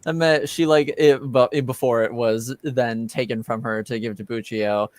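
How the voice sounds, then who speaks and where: A man narrating his character's actions in a tabletop game, casual and halting as he goes. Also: medium pitch, fairly even intonation, darkish tone, no echo. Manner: halting, hesitant.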